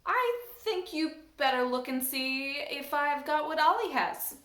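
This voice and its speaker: A woman voicing a blunt, scheming schoolgirl in a storybook reading, with flat, offhand delivery in a roomy space. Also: high-pitched, a little slow. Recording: roomy echo.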